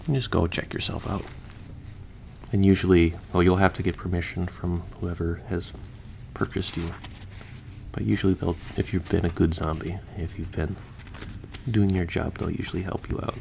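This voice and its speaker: A man doing an ASMR-style roleplay as a mortician for zombies, talking in a soft, unhurried way that is partly whispered and gently rambling. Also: deep voice, flat delivery, gravelly, slight background noise. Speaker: audio roleplay performer playing a zombie mortician.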